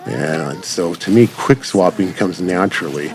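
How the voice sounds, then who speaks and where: A man's voice: low-pitched, a little slow, vocal fry. A man giving casual, unscripted commentary as host of a gaming channel, rambling along in long run-on sentences. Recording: music over the voice. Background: music.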